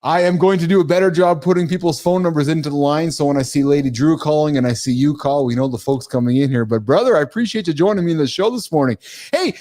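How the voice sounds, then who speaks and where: Animated middle-aged man hosting a live show. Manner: animated, calls listeners guys, jumps in pitch. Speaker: host of a live morning show. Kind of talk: casual live-stream talk show.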